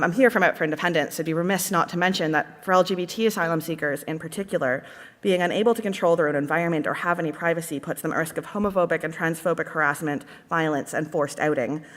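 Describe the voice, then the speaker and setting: Canadian woman, low-ish voice, conference delegate delivering an impassioned speech in a reverberant hall. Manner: earnest, impassioned.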